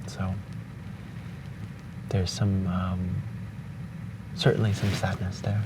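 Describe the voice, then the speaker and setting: A young man from Hong Kong with Cantonese as his native language, speaking slowly and thoughtfully with pauses and restarts, recorded outdoors on a beach at dawn. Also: sits low, monotone. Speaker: vlogger. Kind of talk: reflective spoken letter. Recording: distant outdoor mic with noise.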